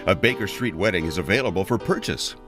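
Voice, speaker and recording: A man's voice: deep voice, expressive, fast talker. A man working as a podcast announcer, reading a scripted outro. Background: guitar music.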